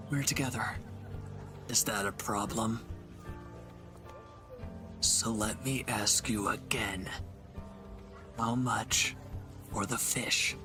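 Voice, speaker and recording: A man playing a reformed villain turned protective lover in an intimate audio roleplay, with a gravelly, breathy voice. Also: on the low side, lightly acted. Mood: firm, confrontational. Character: reformed male villain, tender protective lover, gruffly firm.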